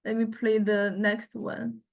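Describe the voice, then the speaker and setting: A woman lecturer giving an informal software demonstration, explaining haltingly and often checking in with listeners. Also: a little high, flat delivery, vocal fry. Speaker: university lecturer.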